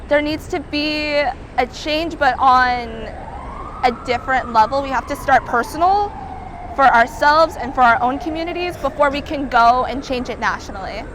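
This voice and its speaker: A woman's voice: high-pitched, moderate pace, background noise. A young woman from California answering interview questions outdoors, speaking in an earnest, candid way.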